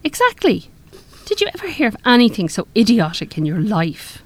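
A woman's voice: medium pitch, huge pitch swings, normal speed. An actress playing a forthright, defiant married woman in a radio drama. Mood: scornful. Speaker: radio play actor. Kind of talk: live radio drama acting.

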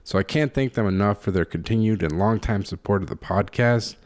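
A man's voice: on the low side, fairly quick, no room sound. A man hosting a podcast, speaking in an informal, rambling, conversational way. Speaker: podcast host.

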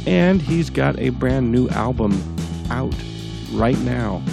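A man with a gravelly, congested voice hosting a podcast in a casual, comedic, self-deprecating way. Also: medium pitch, lively intonation, slow, over loud music. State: congested, under the weather. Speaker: podcast host.

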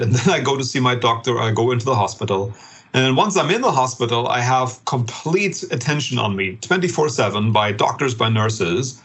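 A middle-aged man speaks with a German accent and a faintly husky, breathy tone. He explains ideas at length as a podcast guest, circling back with fillers and reworded phrases like a professor thinking aloud. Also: mid-range voice, fairly bright tone. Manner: thoughtful, many fillers and rephrasings. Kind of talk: interview answers, explanatory.

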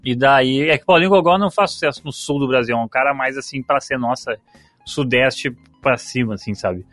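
A man's voice: medium pitch, a little fast, fairly bright tone, no echo, faint music behind. A man, co-hosting a podcast in loose, joking casual chat and swearing freely. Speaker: podcast co-host.

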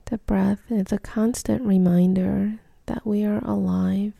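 A woman leading a guided meditation in a gentle, soothing, breathy near-whisper with long pauses. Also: medium pitch, little pitch movement, no room sound, studio quality.

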